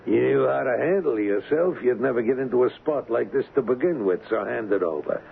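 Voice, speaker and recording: A man playing a cocky, wisecracking gangster in an old-time radio crime drama, slangy and taunting, with rough grammar. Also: mid-range voice, expressive, a little fast, narrow, tinny audio. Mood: cocky, menacing. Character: cocky, wisecracking street-tough gangster hired as an agent. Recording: thin, band-limited, hissy old radio recording.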